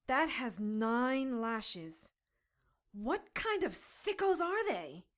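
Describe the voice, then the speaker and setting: A woman's voice: fairly high pitch, animated, extremely slow, fairly bright tone, full character voice. An actress playing a brash, defiant captive witch in an audio drama, sassy and emphatic. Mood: concerned, indignant. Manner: emphatic, hot-headed, sassy.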